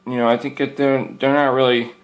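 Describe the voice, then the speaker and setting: A man's voice: medium pitch, little pitch movement, fairly quick, rough recording. A man giving a casual, unscripted product review as a hobbyist, rambling in a folksy way and circling back over his points. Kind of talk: casual unscripted show-and-tell.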